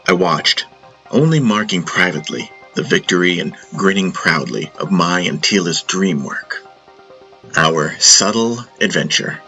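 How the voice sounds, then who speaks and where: A man's voice: low voice, animated, unhurried, sharp tone, faint music behind, rough recording. A man reading scripted first-person fiction as an audiobook narrator.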